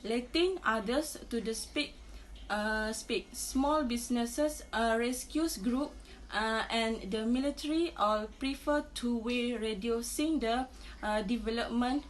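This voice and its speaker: A young woman presents as a student in a fairly high voice. She speaks slowly and haltingly, repeating words as she goes. Faint noise sits behind her. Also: rough recording. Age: young adult. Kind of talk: class presentation.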